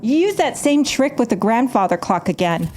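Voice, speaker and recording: A woman's voice: fairly high pitch, sing-song, normal speed, a little hiss or hum. A woman playing an embittered, defiant stage magician in an audio drama, narrating her own story with dramatic intensity. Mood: angry, defiant. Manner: dramatic, swings from hushed narration to angry outbursts. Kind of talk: audio drama acting with first-person narration.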